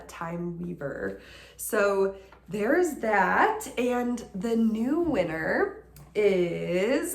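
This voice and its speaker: Sniffly american lady in her 30s talking slow at home, chatty, ums a lot, echoey room. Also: lowish voice, gravelly. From Colorado, United States. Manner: chatty, rambling, with many ums and likes. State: sniffly with a cold. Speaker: knitting vlogger and doctor. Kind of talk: casual chat to camera. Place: living room at home, indoors. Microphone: camera in front at desk distance.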